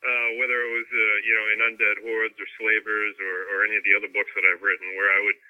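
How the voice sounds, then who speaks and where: A man speaks over a thin, narrowband telephone connection in a fairly low voice. He sounds like an interview guest, explaining things casually and feeling his way through phrases in a loose, rambling manner. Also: little pitch movement, fast. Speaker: interviewed fantasy novelist and martial artist. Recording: tinny narrowband phone line.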